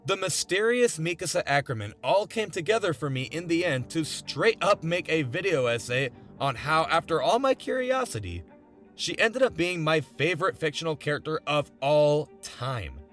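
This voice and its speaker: Young male video essayist, highish voice with very lively intonation, earnest and conversational, music underneath. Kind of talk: scripted video essay narration. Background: background music.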